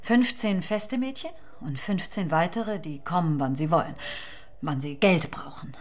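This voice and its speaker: A woman playing a shrewd, seductive brothel madam in a radio drama, speaking with pauses and soft teasing. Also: fairly low pitch, lightly acted. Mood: sly, inviting.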